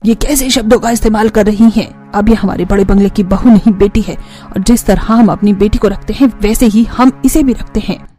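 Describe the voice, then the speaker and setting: A woman's voice: mid-range voice, steady tone, normal speed, dark tone, lightly acted. A woman playing a caring, protective middle-aged mother-in-law in a cartoon family story. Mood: firm, protective. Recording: music bed under the voice.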